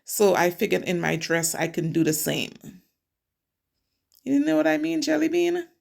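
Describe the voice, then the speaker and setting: A woman's voice: medium pitch, moderate pace, gravelly, darkish tone. A middle-aged woman from Trinidad and Tobago, a nail technician chatting casually as she explains each step of her work. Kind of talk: casual nail tutorial narration.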